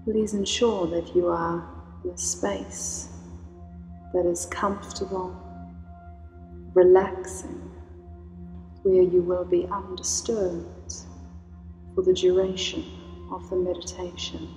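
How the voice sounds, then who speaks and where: A woman leading a guided meditation in a slow, soothing, invocational way. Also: lowish voice, flat delivery. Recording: roomy reverb with soft music.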